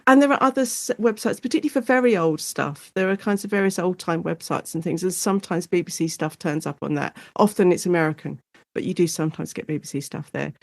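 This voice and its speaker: A British woman speaks as an academic guest in a conversation. She is chatty and enthusiastic, explaining things as she goes, in a mid-pitched voice with very little room echo. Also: clean studio sound. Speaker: guest academic specialising in audio drama. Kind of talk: conversational interview.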